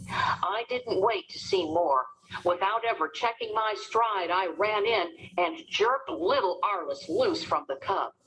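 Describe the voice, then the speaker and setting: A woman narrating a storybook as an expressive, dramatic read-aloud for children. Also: mid-range voice, a little slow, crowd noise, low-quality recording.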